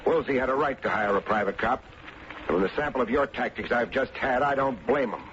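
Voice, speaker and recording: Actor as a wry radio detective, muffled old recording. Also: male voice, mid-range voice, a little fast, background noise, close to an everyday voice. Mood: defiant, sardonic. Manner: deadpan, sardonic. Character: wry, unflappable private detective with a smart mouth. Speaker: lead actor and narrator of a detective serial. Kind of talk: old-time radio detective drama acting.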